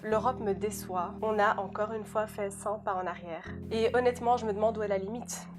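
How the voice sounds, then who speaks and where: A woman's voice: mid-range voice, fairly even intonation, a little fast. Earnest young woman, Dutch-speaking Belgian. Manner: earnest, direct, addresses the listener as a friend. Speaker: law graduate giving a testimony. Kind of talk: scripted personal testimony. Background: music.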